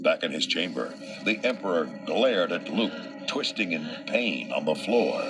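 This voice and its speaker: A man's voice: deep voice, sing-song, unhurried, over loud music. A man reading scripted, dramatic storybook narration in a suspenseful storytelling manner.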